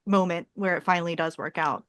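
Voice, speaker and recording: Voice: medium pitch, steady tone, a little fast, fairly bright tone, smooth, dry recording. Co-host voice, reflective encouraging tone, mid range, dry. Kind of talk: conversational reflection.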